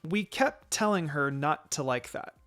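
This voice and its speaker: A man voicing an excitable, worried young girl in a fan fiction reading. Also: a little high, measured pace, soft background music.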